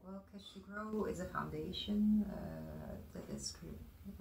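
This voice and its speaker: A woman guest from the Netherlands answering interview questions in a measured way, with frequent hesitations. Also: medium pitch, huge pitch swings, vocal fry, a little mellow, a little hiss or hum. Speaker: guest, foundation founder. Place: room at a wooden table. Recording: roomy room sound.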